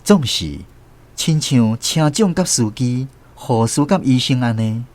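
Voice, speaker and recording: A man's voice: mid-range voice, animated, a little slow, a little mellow. A Taiwanese-accented man giving scripted narration as a radio host. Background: faint music.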